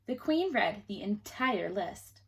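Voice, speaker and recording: A woman's voice: mid-range voice, sing-song, slow pace, creaky, a bit bright, husky. A woman teacher reading a story aloud to children, expressively, with chatty questions to the listeners.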